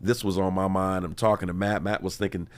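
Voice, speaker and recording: Low, stuffed-up male interview guest. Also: little pitch movement, fairly quick. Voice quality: stuffed-up, nasal. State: congested, stuffy nose. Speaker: interview guest, community organizer. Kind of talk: conversational interview answers. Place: radio studio.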